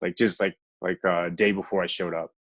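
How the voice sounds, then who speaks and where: An American man telling stories casually as a podcast guest, halting and often dropping in "like". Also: low-pitched, little pitch movement, fairly bright tone. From United States. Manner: halting, peppered with "like". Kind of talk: casual conversational storytelling.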